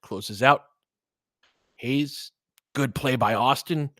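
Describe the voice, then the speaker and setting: A man's voice: mid-range voice, slow pace, fairly bright tone, no echo, clean studio sound. A man giving casual live basketball commentary as a host, chatting informally with listeners and reacting like a fan.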